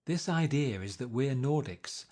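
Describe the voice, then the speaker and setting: A man's voice: mid-range voice, lively intonation, a little slow, a little mellow, natural voice. A man voicing a self-important wealthy man in a storybook reading, insistent and lecturing.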